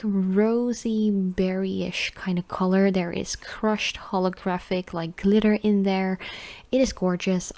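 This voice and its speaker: A woman's voice: medium pitch, warm tone, no echo. A young woman with a Dutch accent, chatting casually with many fillers as she reviews nail polish. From Netherlands.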